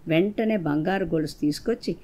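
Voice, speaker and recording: A woman's voice: fairly low pitch, fairly quick, mellow. A woman narrating a scripted moral folktale for children as a storyteller.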